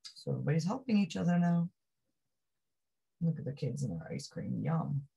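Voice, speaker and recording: A woman reading a picture book aloud to young children, expressively, with drawn-out phrases and chatty asides that put questions to the listener. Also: low voice, very animated, vocal fry, warm tone, breathy edge, poor audio. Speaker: storybook reader.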